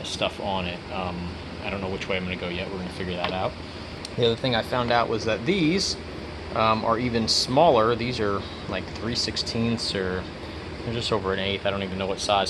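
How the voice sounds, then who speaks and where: A man's voice: lowish voice, noisy background. A man giving a casual, hands-on workshop tutorial, explaining step by step in a plainspoken way. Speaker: DIY car restoration host.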